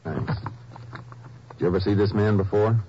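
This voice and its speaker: An actor playing a steady, grim veteran lawman in a 1950s radio drama, calm and measured. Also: fairly low pitch, close to an everyday voice. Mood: firm. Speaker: Texas Ranger and narrator. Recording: noisy, muffled old radio broadcast.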